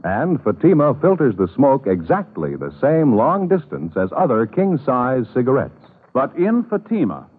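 An American man announcing a scripted 1950s radio commercial in a husky voice. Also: mid-range voice. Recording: tinny old radio recording.

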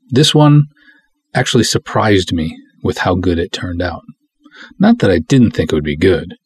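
A man hosting a podcast in a casual, rambling, enthusiastic way, with emphatic delivery and a husky voice. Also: low voice, darkish tone. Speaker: podcast host and independent filmmaker. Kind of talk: casual solo podcast talk.

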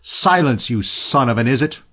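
A man's voice: low voice, animated, a little slow. Man playing a belligerent, tough sailor. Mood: furious. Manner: blustering, challenging. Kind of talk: audiobook character voice.

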